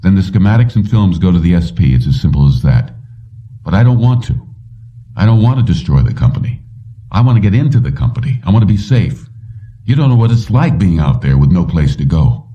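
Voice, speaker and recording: A man's voice: low-pitched, very animated, brisk, mellow, voice pushed up. A man voicing a determined, defiant male mechanic in an audiobook, firm and insistent, on a dull recording. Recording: muffled, distant-sounding dull recording.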